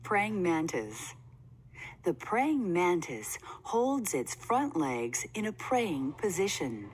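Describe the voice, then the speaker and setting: A woman reading a children's nonfiction book aloud in a deliberate, simply phrased way for young listeners. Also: fairly low pitch, sing-song, husky. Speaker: read-aloud narrator. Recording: faint background noise.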